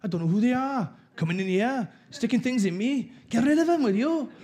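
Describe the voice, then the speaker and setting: A man voicing his confused, bossy elderly Welsh grandmother in a high, scolding voice with a Welsh accent. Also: expressive, fairly quick, darkish tone.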